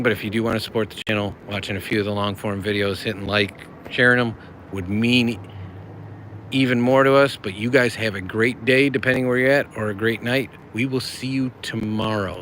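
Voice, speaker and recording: Bright-voiced man chatting on a livestream. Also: lowish voice, slight background noise. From United States. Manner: friendly, rambling, reads chat aloud. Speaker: livestream host. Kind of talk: casual livestream chat.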